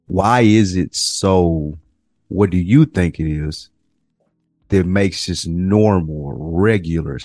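A man co-hosting a podcast, chatting loosely in slang and working through his points with pauses and restarts. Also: deep voice, darkish tone, no echo, studio quality. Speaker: podcast co-host. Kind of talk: casual chat.

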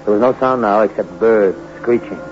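A man's voice: lowish voice, moderate pace, close to an everyday voice. An actor playing a tough, greedy fugitive who tells his crime tale in a hard-boiled, slangy 1960s radio suspense style. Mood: grim, suspenseful. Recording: dull old radio recording with music.

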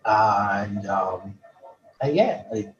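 A man from Barbados, a poet guest in a casual interview, talking haltingly with many restarts and repeated words. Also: on the low side, expressive, lo-fi sound, faint music behind.

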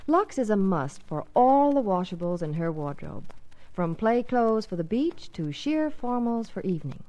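A woman's voice: medium pitch, sing-song, normal speed, mellow. An American woman reading a scripted 1950s radio promotional segment as a Hollywood reporter, polished in delivery.

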